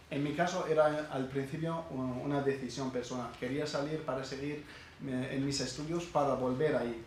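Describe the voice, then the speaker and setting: A middle-aged man from Syria, speaking as a guest at a university event, giving a personal testimony with many pauses and restarts. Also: mid-range voice, darkish tone. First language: Arabic. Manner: halting, many hesitations and restarts. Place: echoey lecture hall. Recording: very echoey hall, faint background noise.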